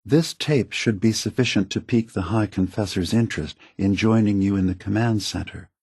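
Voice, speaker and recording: Game role: weary old android leader, low and husky, gently persuasive, philosophical, measured delivery. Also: male voice, dry recording, studio quality. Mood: calm, reassuring. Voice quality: soft, husky, breathy. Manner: measured, deliberate, philosophical, reassuring. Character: gentle, weary, aged male synth leader, softly persuasive. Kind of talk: video game voice acting.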